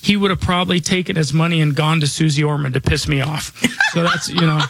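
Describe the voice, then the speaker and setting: A man in his forties hosting a radio show, talking casually in a raspy, slightly hoarse voice, rambling with many restarts and fillers. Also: on the high side, steady tone, fast, background noise. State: slightly hoarse from chest trouble. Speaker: radio show host and financial advisor.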